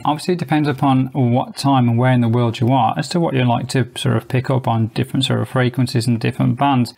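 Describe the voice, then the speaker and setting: Guy reviewing a little radio, quick talker, bit gravelly, explains everything step by step. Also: medium pitch, fairly even intonation, darkish tone. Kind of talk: product review walkthrough. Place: indoors.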